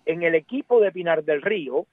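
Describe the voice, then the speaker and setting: High-pitched man, Cuban accent, emphatic sports commentator, casual discussion, repeats himself, tinny narrow-band radio sound. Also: animated, normal speed, dry recording. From Cuba. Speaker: baseball commentator guest.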